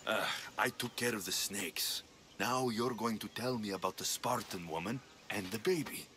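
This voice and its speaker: An actor playing a tough, blunt, sardonic mercenary of ancient Greece in a video game. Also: male voice, on the low side, moderate pace, pushed and bright, a little hiss or hum. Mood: wry, businesslike. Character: tough, wry male Spartan mercenary, blunt and menacing. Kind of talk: video game character acting.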